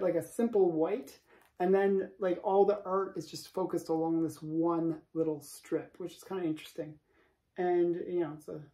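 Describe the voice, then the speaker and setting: A man's voice: high voice, dark tone. A man in his late forties hosting his own channel, chatting casually to camera from his home comic room with small laughs between points. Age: 40s. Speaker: comic collector channel host. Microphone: camera in front.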